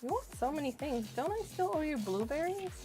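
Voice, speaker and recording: Woman game streamer, slightly high-pitched, rambling casual chat over faint music. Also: sing-song, fairly quick, dark tone. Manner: chatty, thinks aloud, rambling with many "like"s. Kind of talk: casual live-stream chat while playing.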